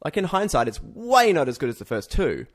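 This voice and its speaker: A man's voice: a little high, sing-song, a little fast. A man co-hosting a podcast, talking casually and informally like an opinionated fan. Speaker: podcast co-host. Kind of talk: casual pop-culture chat.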